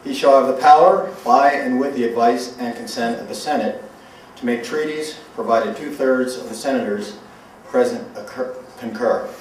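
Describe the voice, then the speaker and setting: A man reads formally in a medium-pitched voice at a public event. The sound is very echoey, with faint background noise. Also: moderate pace, recorded from a distance. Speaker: invited guest reader, navy officer. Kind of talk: formal public reading of a legal document.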